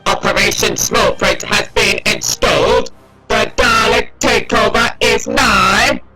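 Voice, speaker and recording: Alien robot soldier, gravelly, echoing, imperious, staccato bark, ring-modulated, audio drama villain. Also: male voice, high voice, slow pace. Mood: menacing. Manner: staccato, barking, imperious delivery. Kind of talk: sci-fi fan audio drama acting. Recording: echoing, loud music behind. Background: loud dramatic music.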